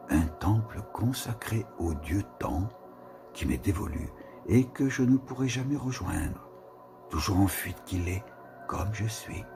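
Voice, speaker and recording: A man giving a slow, musing reading of a literary text, with pauses and whispered asides. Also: on the low side, darkish tone. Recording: reading with music behind. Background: music.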